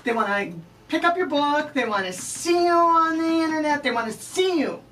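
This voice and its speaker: A woman's voice: high voice, animated, moderate pace, a bit bright. A woman hosting a casual live radio chat in an effusive, enthusiastic way. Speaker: radio interviewer, host. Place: radio station studio. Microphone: picked up by distant camera microphone. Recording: distant voice in noisy room.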